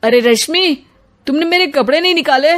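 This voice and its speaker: A woman's voice: sits high, a little fast, a bit bright, pitched above the performer's own voice. Woman acting a plaintive, puzzled adult son. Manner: plaintive, questioning. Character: puzzled, complaining adult son caught in household quarrels.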